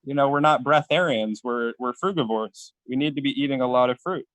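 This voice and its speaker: A man with a middle-pitched voice, recorded dry and close. He talks casually as a guest in a live debate. His delivery is halting and defensive, coming in short pieces. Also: fairly bright tone, dry recording.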